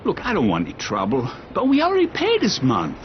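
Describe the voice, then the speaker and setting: Game villager: anxious, exasperated, worn down, very animated intonation, slightly dull sound. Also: male voice, fairly high pitch, a little fast. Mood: exasperated, pleading. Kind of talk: cinematic video game acting. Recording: muffled game capture, faint noise.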